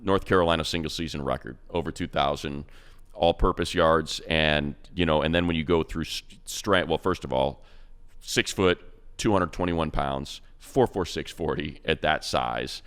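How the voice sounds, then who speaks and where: A man co-hosting a sports podcast, breaking down a player with quick, enthusiastic stats and slangy praise. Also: a bass voice, a bit bright, clear, resonant tone. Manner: fast, enthusiastic stat-heavy breakdown. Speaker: radio play-by-play announcer, co-host.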